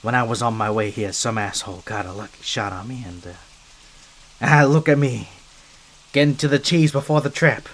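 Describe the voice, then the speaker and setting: A man's voice: medium pitch, normal speed, close to an everyday voice. Man voicing a scrappy streetwise mobster rat. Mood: strained, pleading. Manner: streetwise gangster slang, chatty, hesitant asides. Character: scrappy wisecracking mafia henchman rat, wounded and wary. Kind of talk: ASMR roleplay monologue.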